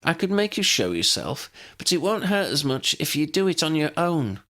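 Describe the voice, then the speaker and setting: A man voicing a young woman who speaks with calm, powerful, uncanny certainty. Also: on the high side, moderate pace, voice pushed up. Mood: calm, commanding.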